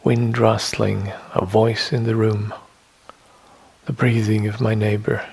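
Gravelly, husky man guiding a slow meditation. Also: on the low side, level tone, darkish tone, low-quality recording. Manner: measured, with long pauses between short phrases. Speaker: meditation teacher. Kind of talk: guided meditation instruction. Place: meditation hall.

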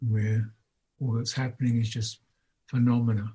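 A man leading an unscripted guided meditation as a teacher, speaking in a contemplative way with long pauses between short phrases. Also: medium pitch, little pitch movement, dark tone, slightly rough, poor audio. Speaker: Buddhist monk, meditation teacher.

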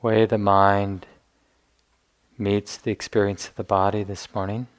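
A man leading a guided meditation as a teacher, speaking gently in unhurried phrases with long pauses. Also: fairly low pitch, flat delivery, clear, resonant tone.

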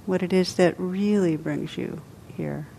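A woman meditation teacher leading a guided meditation, speaking softly and unhurriedly with long pauses between phrases. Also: fairly low pitch, gravelly, slight background noise.

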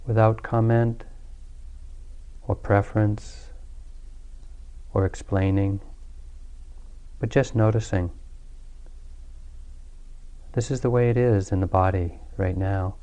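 A man leading a guided meditation as a teacher, speaking calmly and soothingly with long pauses. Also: fairly low pitch, clear, resonant tone. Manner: calm, unhurried, soothing delivery with long pauses.

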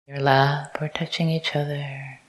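Slow, low-voiced woman guiding meditation. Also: low-quality recording. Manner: soft, breathy, often near-whispered delivery; calm, unhurried, with short repeated phrases. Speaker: meditation teacher. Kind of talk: guided meditation. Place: meditation retreat talk.

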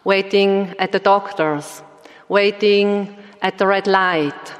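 Woman meditation teacher, unhurried and gentle, recorded in a roomy meditation hall with long reverb. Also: mid-range voice, fairly even intonation. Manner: slow, gentle instructional delivery. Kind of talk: Dhamma talk.

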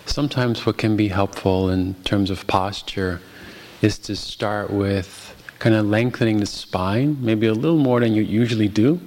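A man guiding a meditation as a teacher, speaking gently and unhurriedly with long pauses. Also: lowish voice, slight background noise. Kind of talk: guided meditation.

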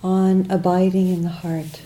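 A woman with a very dark, soft-edged voice leads a guided meditation. She speaks slowly, in short phrases separated by long pauses. Her pitch sits a little low, and her phrases often trail off gently. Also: little pitch movement, slight background noise. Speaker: meditation teacher.

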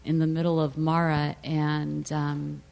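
An audience member at a meditation talk asking a question, with a loud voice, hesitant and circling back. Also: mid-range voice, monotone, measured pace, vocal fry.

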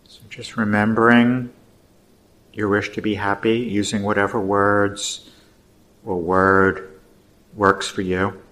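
A man teaching meditation, giving gentle, unhurried guided instructions with pauses. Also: fairly low pitch, little pitch movement.

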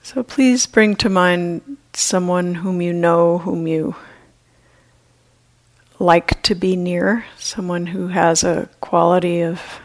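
A woman leading a guided meditation as a teacher, speaking gently and unhurriedly with long pauses. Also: fairly low pitch, fairly even intonation.

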